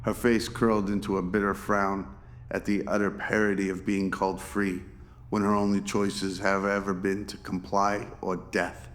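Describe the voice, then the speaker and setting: A man reading scripted narration for a radio drama. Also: deep voice.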